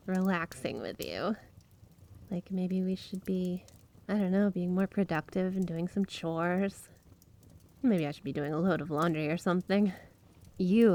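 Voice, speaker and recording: A woman's voice: fairly low pitch, warm tone. A woman playing a flirty, possessive snow spirit wife in a roleplay ASMR monologue, coy and teasing, doting on her listener with feigned innocence. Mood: tender, reassuring.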